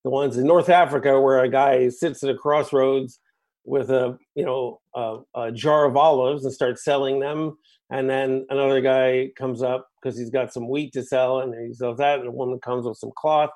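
A man's voice: mid-range voice, fairly even intonation, normal speed, darkish tone. A man from the United States, a musician being interviewed, talking in a reflective, discursive way and often checking in with "right?" and "you know". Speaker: guest musician.

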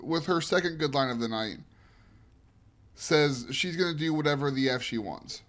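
A man co-hosting a chatty podcast, his voice sitting a little higher than most men's. He recaps with emphatic, opinionated conviction, pressing hard on his verdicts. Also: moderate pace, a bit bright. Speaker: podcast co-host. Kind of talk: casual chat.

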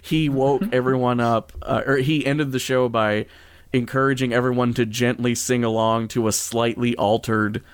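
A man talks casually as a podcast host, with a smooth, clean voice at an average pitch. He speaks unscripted and rambles, stopping and restarting his thoughts. The sound is very dry, with no room on it. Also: fairly even intonation, dry recording. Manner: rambling, halting, backtracking mid-sentence; chuckles through his own sentences.

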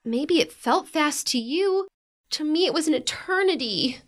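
A woman's voice: high-pitched, animated, unhurried. A woman playing a blunt, dramatic, protective teenage big sister in an audio drama, with emphatic delivery. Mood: shaken. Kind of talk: audio drama acting, naturalistic dialogue.

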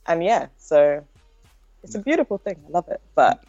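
A woman's voice: on the low side, big pitch swings, normal speed, warm tone, no room sound, dull audio, faint music behind. A young woman with a nasal voice, chatting casually as a podcast guest and filling her talk with likes and ums. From Britain. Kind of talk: casual interview chat.

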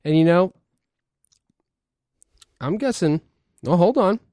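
A man's voice: high voice, lively intonation, takes it slowly, dark tone, no echo. A man hosting a casual podcast, talking in a laid-back, joking way. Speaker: podcast host.

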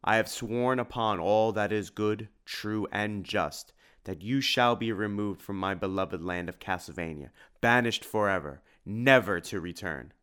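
A man's voice: lowish voice, a little slow, a bit bright, smooth. A man voicing a solemn, noble monster-hunting hero with formal, declamatory speech in a storybook. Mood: resolute.

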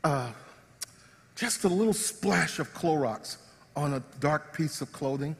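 A man preaching a lively sermon, acting out everyday scenes in voices. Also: a little high, unhurried, vocal fry, in a large hall. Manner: animated, acts out body parts in comic voices. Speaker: pastor. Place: church sanctuary.

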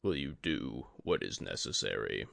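A man voicing a solemn, resigned, tainted old sorcerer and teacher in a slow, grave, measured way for a fantasy audiobook. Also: extremely low pitch, sing-song, gravelly, fairly bright tone, dry recording, clean studio sound, voice pushed down, full character voice.

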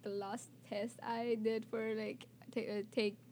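A young woman from Pakistan, a student guest on a college radio show, speaking in a relaxed, thoughtful way with many filler words. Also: on the high side, measured pace, slight background noise. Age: young adult. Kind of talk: casual radio interview. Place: radio studio.

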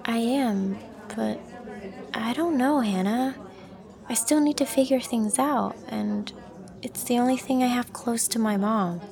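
A woman playing a guarded, unsure young student in an audio drama, soft-spoken and hesitant, often trailing into breathiness. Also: on the high side, measured pace, gravelly, a crowd in the background. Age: young adult. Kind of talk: acted audio drama dialogue.

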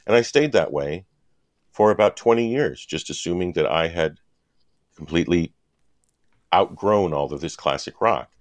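A man's voice: low-pitched, expressive, normal speed, long pauses, dry recording. A man in his fifties from Wisconsin, a guest cartoonist telling stories in a casual podcast chat, rambling with fillers and restarts. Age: 50s.

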